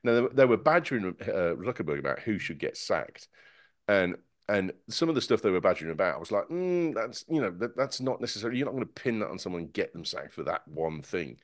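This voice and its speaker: A man on a casual tech podcast panel, talking in an animated, sarcastic way with many stumbling restarts. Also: lowish voice, fast talker, dry recording, clean studio sound.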